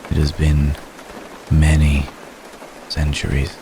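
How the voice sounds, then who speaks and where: Guy doing a old immortal vampire gentleman for asmr, gravelly and pretty monotone, soft formal halting lovesick apologies, rain in the back. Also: very deep voice, full character voice. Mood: tender, vulnerable confession. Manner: halting, formal, soft-spoken, deliberate phrasing. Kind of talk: ASMR roleplay, intimate dramatic monologue. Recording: steady rain noise behind the voice.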